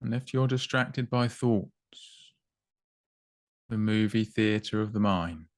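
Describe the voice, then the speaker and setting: A man guiding a body scan meditation in an unhurried, gently instructive way, with long pauses between short phrases. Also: fairly low pitch, dry recording, studio quality. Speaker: meditation guide.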